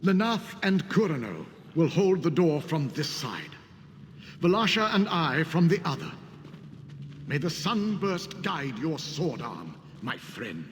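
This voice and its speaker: A grave game warrior, rallying a champion in formal, stately tones. His fairly high voice swings widely in pitch and rings in a long reverb. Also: sing-song, slow. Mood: solemn, rallying. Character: solemn male warrior comrade rallying a champion. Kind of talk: video game voice acting. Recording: long reverb, faint background noise.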